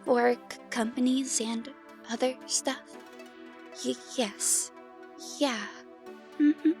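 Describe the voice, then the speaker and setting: A woman's voice: high-pitched, steady tone, takes it slowly, darkish tone, faint music behind. A woman playing a shy, anxious sixteen-year-old girl in an audio drama, hesitant and stammering. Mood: timid, nervous.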